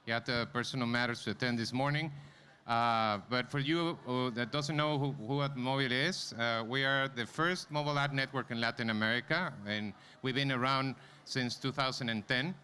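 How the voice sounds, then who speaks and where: A man's voice: mid-range voice, moderate pace, sharp tone. A man giving a live conference presentation, speaking informally and directly to the audience. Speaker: conference presenter, company vice president. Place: conference stage before an audience. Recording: clear background noise, live event room.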